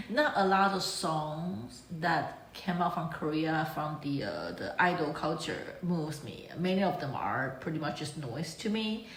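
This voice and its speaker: A woman hosting a reaction video with casual commentary, speaking as an enthusiastic, admiring fan. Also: on the low side. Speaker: reaction video channel host. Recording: echoey room.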